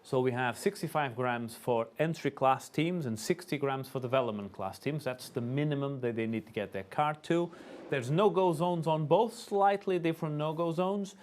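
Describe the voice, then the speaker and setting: A man's voice: fairly high pitch, normal speed, a little mellow. A man with a Portuguese accent, a Portuguese native speaker, explaining the rules and announcing the lanes at a school car racing event, with some hesitation. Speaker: rules official and race announcer. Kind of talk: live event commentary.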